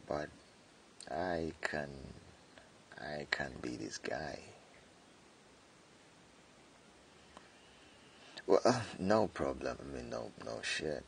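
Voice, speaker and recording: A man voicing a jealous tsundere friend confessing hidden love, speaking haltingly in an intimate boyfriend ASMR roleplay. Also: animated, a little hiss or hum, full character voice. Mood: hesitant, sulky. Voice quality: very deep, gravelly. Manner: hesitant, halting, with stammered repeats.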